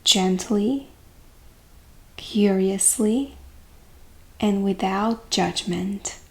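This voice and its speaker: Female meditation guide: very unhurried, calming coach delivery, mid-range pitch, gentle phrasing with long pauses.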